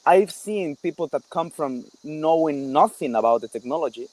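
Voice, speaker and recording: Higher-voiced man, halting delivery. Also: lively intonation, a little mellow, no echo, poor audio. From El Salvador. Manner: halting, repeats words as he searches for phrasing. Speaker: guest, developer and product builder. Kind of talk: casual interview chat.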